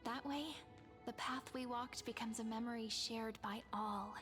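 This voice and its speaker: A woman voicing a wistful, earnest young storyteller in a video game, measured and tender. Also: fairly high pitch, fairly even intonation, lightly acted. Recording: music over the voice.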